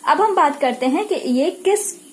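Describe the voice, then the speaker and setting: A woman's voice: sits high, lively intonation, measured pace, a little hiss or hum. A woman with an Indian accent lecturing in a didactic, repetitive way, asking questions and answering them herself. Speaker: physiotherapy lecturer. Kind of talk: explanatory lecture mixing Hindi and English.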